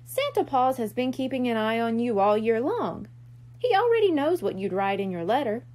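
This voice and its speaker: Woman as a patient, animated merkitten mother. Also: fairly high pitch, moderate pace, close to an everyday voice. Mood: reassuring. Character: patient, reassuring mother cat-mermaid.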